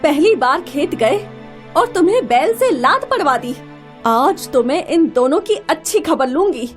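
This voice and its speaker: A woman's voice: high voice, sing-song, measured pace, faint music behind. A woman playing a strict, scolding village mother in a cartoon moral story, speaking emphatically. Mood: angry. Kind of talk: animated moral story acting.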